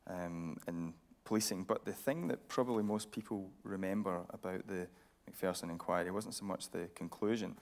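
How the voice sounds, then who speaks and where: A man with a fairly low voice and a strong creak in it. He works as an interviewer on a theatre stage, laying out context and asking questions in a measured, journalistic way. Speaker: interviewer, presenter, reporter. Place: radio theatre stage with an audience.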